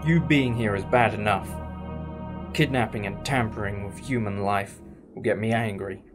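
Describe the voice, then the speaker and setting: A man's voice: lowish voice, normal speed, music in the background, natural voice. A man playing a driven, defiant time-travelling hero in a fan audio drama, earnest and dramatic.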